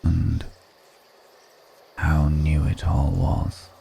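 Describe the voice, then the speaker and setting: A man playing an ancient, refined, wistful male vampire in an intimate ASMR roleplay, speaking slowly and formally with measured pauses. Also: very deep voice, completely flat delivery, creaky, dark tone, slight background noise, exaggerated voice. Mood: reflective, grave. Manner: slow, measured, pausing between phrases, formal wording. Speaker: voice actor in an audio roleplay.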